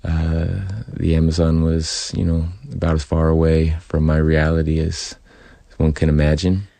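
A man's voice: a bass voice, completely flat delivery, moderate pace, gravelly, dark tone, no room sound. A man from California with an American accent, chatting casually as a studio guest. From California, USA. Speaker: interview guest and informal interpreter. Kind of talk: casual chat.

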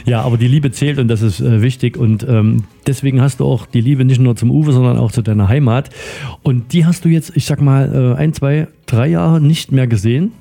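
A man hosts a casual radio chat. His voice is very dark and mellow, with a gravelly fry to it. He rambles along chattily as he talks. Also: mid-range voice, fairly quick. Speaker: radio show host.

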